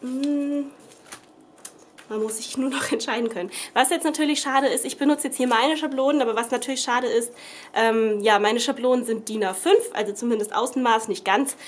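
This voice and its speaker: A woman's voice: on the high side, moderate pace, slight background noise. A young woman with a cold, chatting casually through a craft tutorial at her table at home, rambling with lots of fillers and self-corrections. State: has a cold. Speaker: craft vlogger. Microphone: camera above the table, speaker off screen.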